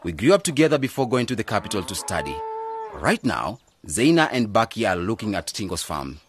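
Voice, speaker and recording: A man's voice: fairly low pitch, sing-song, brisk, background noise. An actor playing an earnest, idealistic young man returning to his village in a radio drama, speaking with emphasis. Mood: conciliatory. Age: young adult.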